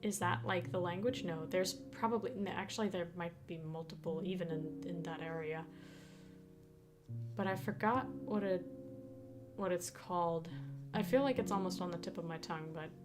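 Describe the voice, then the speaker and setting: A woman's voice: fairly low pitch, moderate pace. A young woman, a native Danish speaker, chatting casually with viewers on a live stream, giggling often and restarting her sentences. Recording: streamed with guitar music behind. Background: guitar music.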